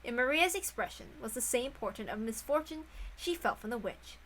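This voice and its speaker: Bright-voiced woman narrating dramatically. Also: fairly high pitch, lively intonation, fairly quick, rough recording. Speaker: reader of a visual novel.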